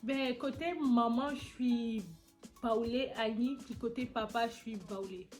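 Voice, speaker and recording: Young woman, Ivorian accent, fairly high voice, animated casual chat, soft music behind. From Côte d'Ivoire. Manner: lively, animated, quick to tease. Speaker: vlogger. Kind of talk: casual chat answering questions.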